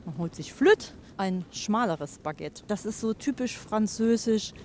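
A woman's voice: mid-range voice, expressive, measured pace, a little hiss or hum. A woman, answering a street interview in a casual, chatty way. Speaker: local interviewee.

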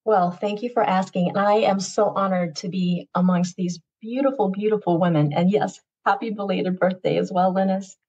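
A woman in her fifties whose first language is Tagalog speaks at a middle pitch. She tells her story in an earnest, measured way. The video call sound is a little dull and lo-fi. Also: steady tone, darkish tone, slightly rough. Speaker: wellness coach, retired nurse. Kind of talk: podcast guest story. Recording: muffled lo-fi sound.

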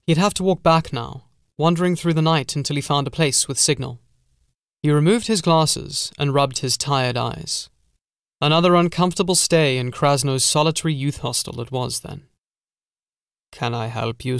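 A male audiobook narrator reads a scripted gothic horror tale. His voice sits a little high for a man, and the recording is dry and close. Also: a bit bright, clean tone, no room sound.

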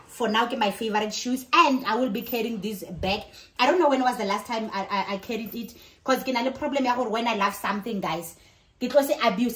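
A woman in her thirties with a South African accent, chatting casually and expressively as a vlogger and switching between languages. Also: on the high side. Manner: excited, expressive. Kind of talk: casual vlog chat mixing in another language. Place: living room and kitchen at home.

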